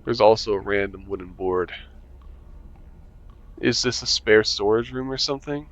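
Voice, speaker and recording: A man's voice: lowish voice, moderate pace, muffled recording. A man reading a visual novel aloud for a let's-play, chuckling and adding wry asides. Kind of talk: casual let's-play narration.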